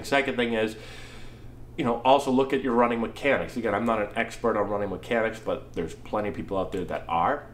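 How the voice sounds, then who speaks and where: A man's voice: lowish voice, a little hiss or hum. A man in his thirties with a stuffy cold, a baseball coach casually answering viewer questions and tagging his points with "right?". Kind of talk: casual talking-head Q&A. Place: small indoor room, plain wall. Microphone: camera in front, head and shoulders. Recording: echoey room.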